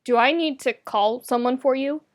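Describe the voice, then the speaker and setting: A woman reading as a brisk, judgmental but concerned young woman with a pointed, commanding manner, in a storybook narration. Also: high voice, lightly acted.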